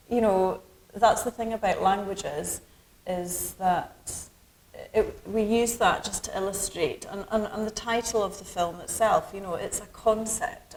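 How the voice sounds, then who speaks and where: A middle-aged Scottish woman, a film director, answering interview questions thoughtfully with many pauses and restarts. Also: medium pitch, slight background noise, poor audio. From Scotland. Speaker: documentary director.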